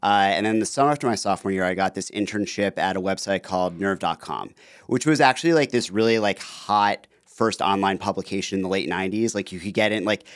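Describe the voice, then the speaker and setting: A man's voice: low voice, fast, smooth, no room sound, clean studio sound. A man in his thirties, a podcast guest telling stories in a chatty, casual way, with lots of "like" and "you know". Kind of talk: casual interview chat.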